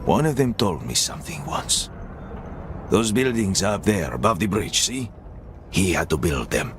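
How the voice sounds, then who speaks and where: A world-weary old prisoner in a video game. He muses and persuades in a low voice, slowly and deliberately, with faint noise in the background. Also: very animated. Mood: wry, confiding. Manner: measured, musing, deliberate pauses. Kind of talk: dramatic video game acting.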